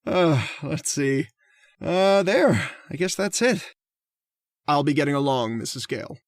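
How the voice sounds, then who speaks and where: An actor playing a mild, hesitant gentleman who hunts people for sport, in a radio drama. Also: fairly high pitch, lively intonation, a little slow, no echo, studio quality. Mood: mild relish. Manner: polite, hesitant.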